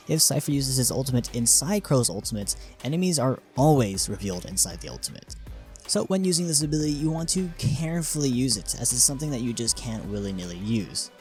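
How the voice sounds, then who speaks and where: A man gives scripted explainer narration as the host of a gaming video. His voice sits at an average male pitch and he explains step by step, dropping in informal asides. Music plays behind him. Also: darkish tone. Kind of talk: scripted explainer narration about a game character concept.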